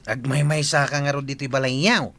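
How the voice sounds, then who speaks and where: A man's voice: mid-range voice, fairly even intonation, normal speed, gravelly, a bit bright. A man playing a village character in a radio comedy drama. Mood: chatty.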